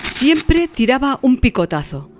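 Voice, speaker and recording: A woman's voice: high voice, sing-song, unhurried, background noise. A woman narrator reading a scripted literary audio story. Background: music.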